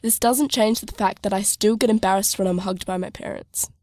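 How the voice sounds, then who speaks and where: A woman's voice: mid-range voice, brisk, darkish tone, no room sound, studio quality, close to an everyday voice. A young woman playing a worldly, teasing, sarcastic teenage girl in an audio drama. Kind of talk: scripted audio drama dialogue.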